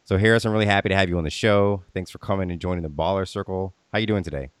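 A man's voice: deep voice, steady tone, very fast, clean tone, dry recording, clean studio sound. A man hosting a podcast interview in an informal, welcoming manner. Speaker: podcast host.

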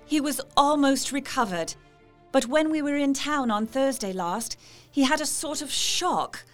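A woman's voice: high-pitched, animated, unhurried, faint music behind. An actress playing a polite, devoted young Victorian wife in a gothic audio drama, formal and heartfelt, as if telling her diary. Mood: troubled. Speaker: actress narrating and playing dialogue.

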